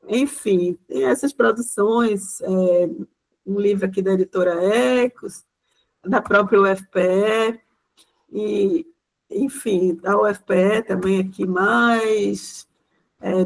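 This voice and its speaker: A woman's voice: medium pitch, low-quality recording. A woman giving an informal, chatty talk to a class as a guest lecturer, often using small conversational fillers. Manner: chatty, loose, leans on fillers like "né".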